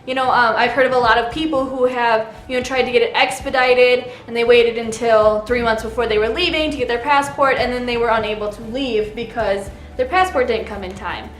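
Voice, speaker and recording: Chatty higher-voiced woman in an echoey room. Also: fairly even intonation, brisk, hardly any pauses. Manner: chatty, with frequent fillers. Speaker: co-host. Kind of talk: casual advice chat.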